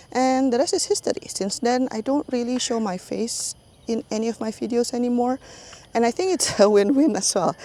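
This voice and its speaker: Chatty Singaporean woman with a mellow voice. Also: on the high side. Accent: Singaporean English. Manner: chatty, laughs often mid-sentence. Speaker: YouTube channel host. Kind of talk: casual voiceover chat to viewers.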